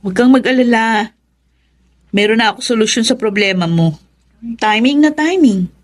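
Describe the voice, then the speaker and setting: Actress as a bossy, high-voiced Filipina elder sister. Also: female voice, lightly acted. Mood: insistent. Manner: nagging, insistent, drawn-out emphasis. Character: bossy, superstitious, self-righteous elder sister. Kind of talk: Filipino radio drama acting.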